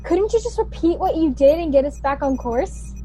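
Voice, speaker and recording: A woman's voice: high-pitched, expressive, moderate pace, dark tone, slight background noise. A woman playing a technically minded young colonist in a sci-fi radio play, explaining things matter-of-factly. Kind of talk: science fiction radio play acting.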